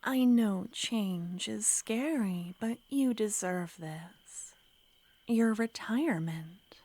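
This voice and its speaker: A woman voicing a clingy, menacing yandere witch in an ASMR roleplay, speaking in a soft, coaxing whisper. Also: mid-range voice, slow pace, no echo.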